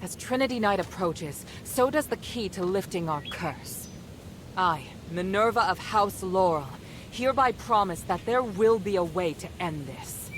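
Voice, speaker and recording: A woman's voice: medium pitch, animated, unhurried, noisy background, natural voice. An actress playing a proud, resolute young noblewoman in a fantasy game, composed, formal and firm. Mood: determined. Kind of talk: dramatic game cutscene acting.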